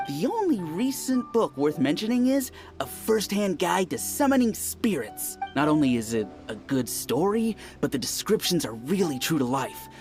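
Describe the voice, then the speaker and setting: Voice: medium pitch, sing-song, moderate pace, few pauses, a little mellow, faint music behind. A voice actor playing a reflective, slightly jaded longtime reader of novels.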